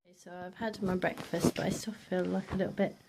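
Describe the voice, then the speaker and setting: A woman's voice: on the low side, steady tone, quick pace, gravelly. A British woman chatting casually to camera in a food diary vlog, rambling and hesitant, often trailing off and repeating herself. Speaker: vlogger. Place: at home. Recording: noisy amateur home video.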